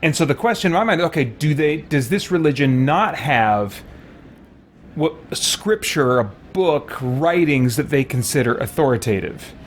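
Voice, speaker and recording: A man's voice: fairly high pitch, animated. Musing man with faint background noise. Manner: halting, musing, thinking aloud. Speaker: let's-play host. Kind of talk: casual reflective game commentary.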